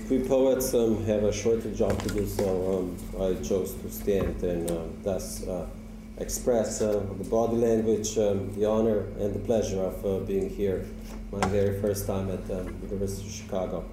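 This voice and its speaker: Hesitant poet in an echoey hall. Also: male voice, lowish voice, fairly even intonation, unhurried, recorded from a distance, background noise. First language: Slovenian. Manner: hesitant, many ums and uhs. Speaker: poet giving a reading. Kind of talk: live poetry reading with spoken introductions. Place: university lecture hall.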